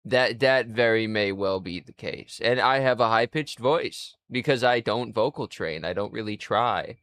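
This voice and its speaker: Young man streaming, lowish voice, unhurried drawl, crude rambling chat, dry close sound. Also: a bit bright, clear, resonant tone, no room sound. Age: 20s. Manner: rambling, crude and self-mocking. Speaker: livestreamer. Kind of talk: casual livestream chat.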